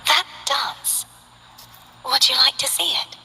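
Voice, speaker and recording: A cheerful, candid young woman dancer in a game, chatting warmly. Her voice sits a little high and rings very bright. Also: wildly swooping pitch, takes it slowly, gravelly, a little hiss or hum, heavily acted. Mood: friendly. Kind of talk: game voice lines.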